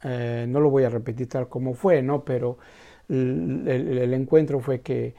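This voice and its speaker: A man telling a Zen story in a casual, halting way, full of restarts and tag questions. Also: medium pitch, fairly even intonation, creaky, dark tone, highs cut off.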